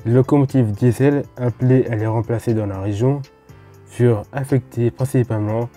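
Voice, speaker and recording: A man from France talks viewers through a history topic in a relaxed, explanatory way. His voice is deep-toned and very mellow, at an ordinary pitch, and he breaks off now and then for chatty asides. Faint music plays underneath. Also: moderate pace. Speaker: host of a railway history channel. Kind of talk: casual explanatory narration.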